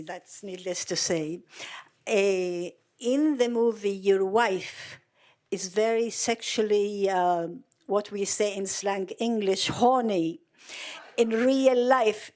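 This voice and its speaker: A woman in the audience asks the director a direct question. Her voice sits fairly high. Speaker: audience member.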